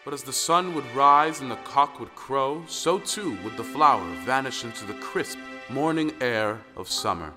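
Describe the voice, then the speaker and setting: Actor as folktale storyteller, man, medium pitch, dramatic narration, long reverb, clear music behind. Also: animated, moderate pace, few pauses, close to an everyday voice. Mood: storytelling. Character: male storyteller of an old folktale.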